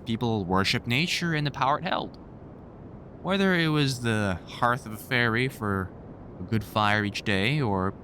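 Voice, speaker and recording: A man's voice: medium pitch, a bit bright, a little hiss or hum. A man playing a wry, grumpily fond vampire hunter in a conversational audio roleplay, teasing and swearing through rambling explanations.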